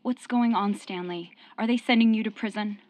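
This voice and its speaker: An actress in a radio drama playing a loyal, forgiving young sweetheart with a firm streak. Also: female voice, mid-range voice, moderate pace, a little mellow, lightly acted. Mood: concerned, hushing.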